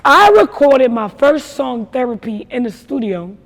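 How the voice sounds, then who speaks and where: A young woman from New York with a naturally raspy voice, telling her story in a casual interview with emphatic, slangy talk. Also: sits high, big pitch swings, measured pace, long reverb tail. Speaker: rapper guest.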